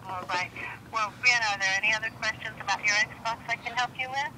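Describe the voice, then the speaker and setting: A woman's voice: a little high, fast talker. An American woman working as a customer support agent on a phone call, polite, formal and patient. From United States. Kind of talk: scripted phone customer service. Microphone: phone call heard over handset speaker. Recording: sharp phone speaker, faint background noise.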